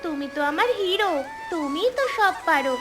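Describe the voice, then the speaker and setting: A woman's voice: very high-pitched, expressive, moderate pace, music in the background. A woman voicing a little girl in a cartoon, a doting younger sister with a childlike, insistent, melodramatic way of pleading. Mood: coaxing.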